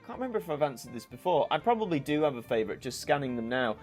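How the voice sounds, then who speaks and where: A man's voice: medium pitch, sing-song, very fast, soft background music. A young man with a mixed northern English, mostly Yorkshire, accent, chatting casually as he answers viewer questions and thinks aloud. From Yorkshire, England. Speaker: YouTube channel host. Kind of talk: casual Q&A chat.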